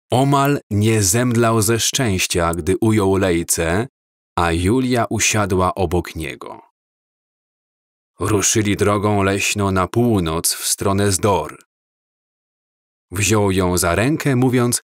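Slow, low-voiced man reading a story. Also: no room sound, clean studio sound. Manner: slow, measured, calm reading. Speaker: audiobook narrator. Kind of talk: scripted storybook narration.

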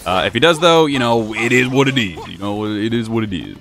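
A fast-talking young man with a lower voice that swings widely in pitch, rambling through a video game playthrough. His voice is slightly hoarse, as if he's losing it, with game music faint behind him. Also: lively intonation, a bit bright. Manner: chatty, rambling with many fillers. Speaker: gaming channel host. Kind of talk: casual let's-play commentary.

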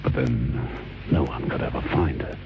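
An actor playing a scheming, wealthy middle-aged husband with a hesitant manner in an old radio drama. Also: male voice, very deep voice, normal speed, gravelly. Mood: smug, musing. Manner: stammering, hesitant when feigning concern. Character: scheming forty-year-old wealthy husband, smug and falsely anxious. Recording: muffled, noisy old radio recording.

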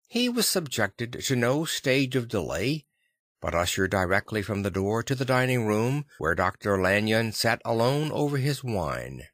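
Male audiobook narrator, mid-pitched, lively intonation, measured literary storytelling. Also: no room sound, clean studio sound.

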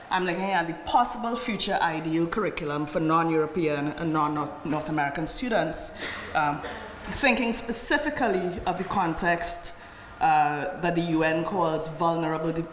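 A middle-aged Trinidadian woman gives a conference talk in a measured, personal way, checking in with her listeners as she goes. Her voice sits a little low. She is picked up from afar in a roomy lecture hall with long reverb and faint background noise. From Trinidad and Tobago. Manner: measured, personal, tags lines with "right?". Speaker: conference speaker. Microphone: podium microphone from a far camera. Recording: echoey hall recorded from afar.

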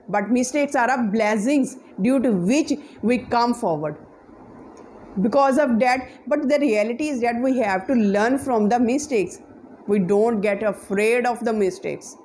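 Young pakistani woman teaching english to camera, high voice, earnest and emphatic, punjabi native, indoors on a sofa. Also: darkish tone, slight background noise. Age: young adult. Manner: earnest, emphatic, lots of hand gestures. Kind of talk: motivational talk to camera. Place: indoor room, seated on a sofa.

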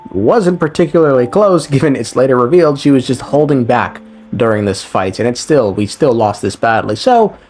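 A man's voice: mid-range voice, moderate pace, darkish tone. A man giving a casual, sarcastic recap commentary of a game story, with profane, irreverent humor. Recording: voice over background music. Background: background music.

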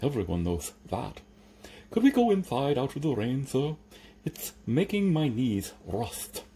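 A man's voice: mid-range voice, sing-song, measured pace, mellow, a little hiss or hum, voice pushed up. A man voicing a stitched-up servant creature from a storybook, obsequious, formal and unflappable, with a grumbling streak. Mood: obsequious, confident. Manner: deferential, formal, salesmanlike.

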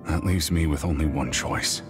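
A man's voice: a bass voice, steady tone, moderate pace, loud music behind the voice. A man playing a grim, weary antagonist in an anime game dub, brooding and terse. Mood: grim, resigned. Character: grim, weary adult male antagonist granting a wish.

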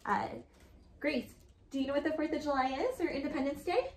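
A woman in her thirties hosting a children's story time, reading aloud and asking questions in animated, child-directed talk. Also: sits high, fairly quick. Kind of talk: picture-book read-aloud and chat for children. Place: living room, indoors. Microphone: camera a few feet away. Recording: echoey room.

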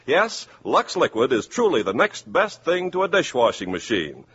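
A man announcing and pitching products in the polished style of a 1950s radio commercial. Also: huge pitch swings, normal speed, fairly bright tone, husky. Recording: muffled, hissy 1950s radio recording.

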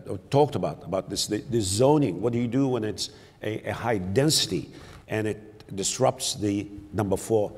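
An older man speaking on a conference panel, halting, with many repeated words and fillers. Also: lowish voice, darkish tone, breathy edge. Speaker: panelist, city mayor. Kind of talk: panel discussion. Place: large conference hall, on stage. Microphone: lapel mic. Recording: long hall reverb.

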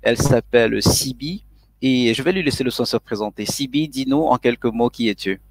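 A man's voice: medium pitch, fairly quick, dry recording. A man moderating a live online panel in a formal, hesitant way with many fillers. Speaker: webinar moderator.

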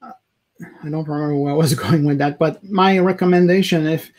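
Higher-pitched man, halting talker. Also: steady tone, a little mellow. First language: French. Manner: halting, searching for words, self-correcting. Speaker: video blogger. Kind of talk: casual opinion rant to camera. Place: small indoor room, home office.

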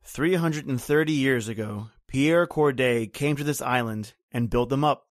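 A man acts out a cryptic boatman from an old-time radio horror play. He is stoic and evasive, speaking in terse, repetitive phrases at a middling pitch. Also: normal speed, no room sound, studio quality, lightly acted. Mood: stoic, ominous.

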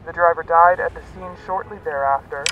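A man's voice: a little high, steady tone, fairly quick, natural voice. A man playing a news reporter, reading a scripted report in a formal, matter-of-fact way. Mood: neutral, formal. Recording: muffled distant playback, faint background noise.

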